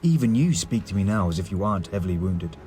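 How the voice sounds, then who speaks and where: A man's voice: sits low, sing-song, quick pace, mellow, a little hiss or hum. A man playing a solemn, courteous warrior priest in a fantasy audio drama, using formal, archaic phrasing. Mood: courteous, approving.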